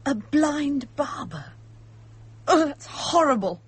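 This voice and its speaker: An impulsive young woman turned amateur detective in a radio play. Her voice sits fairly high and swings widely in pitch. She is brisk, excitable and sure of herself. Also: full character voice. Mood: horrified. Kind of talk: radio drama acting.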